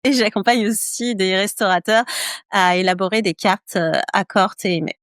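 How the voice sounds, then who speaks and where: A woman from France talks through an interview in a smooth, clear voice, pausing often and circling back over her own words. The sound is close and dry, with no room around it. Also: medium pitch, dry recording. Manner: hesitant, many fillers and repeated phrases. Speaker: guest. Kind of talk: casual interview chat.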